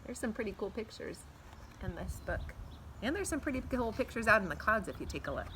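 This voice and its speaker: A woman's voice: fairly high pitch, big pitch swings, a little fast. A middle-aged American woman reading a children's picture book aloud outdoors, expressively drawing out words and adding asides for young listeners. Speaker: teacher reading aloud to pupils. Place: outdoors in a backyard patio. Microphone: camera a few feet away. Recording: faint outdoor background noise.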